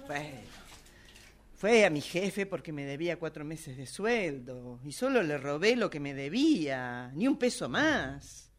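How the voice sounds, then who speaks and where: A woman acting a hot-tempered, impulsive, emotional Argentine woman in an amateur radio play, haltingly excusing her rages and repeating words when flustered. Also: low-pitched, sing-song. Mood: defensive, flustered.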